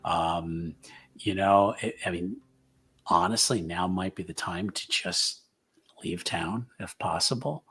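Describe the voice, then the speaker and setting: A man voicing an earnest, cautious tavern-goer in a tabletop roleplaying game, polite and halting, often repeating words. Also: low voice, a bit bright, breathy edge, exaggerated voice. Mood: grave, concerned. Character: soft-spoken, earnest male tavern regular, cautiously warning.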